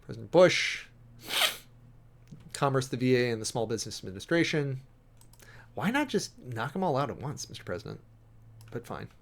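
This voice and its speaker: A male streamer with an average-pitched voice reads formal text aloud and annotates it as he goes. His commentary is wry and sardonic, and his delivery moves between brisk reading and halting, emphatic remarks. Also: very animated, gravelly. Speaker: streamer and preacher giving commentary.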